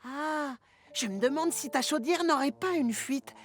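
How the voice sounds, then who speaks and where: A woman's voice: high voice, a little slow. A woman voicing a friendly, helpful, curious little male train engine in a children's cartoon dub. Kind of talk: children's animated series dub.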